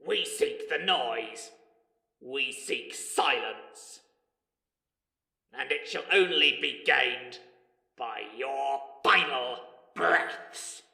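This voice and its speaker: A menacing alien invader speaks slowly and formally in a gravelly, very sharp voice. It is science fiction audio drama, each threat delivered with deliberate weight. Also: male voice, mid-range voice, exaggerated voice. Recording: echoey hall with long reverb.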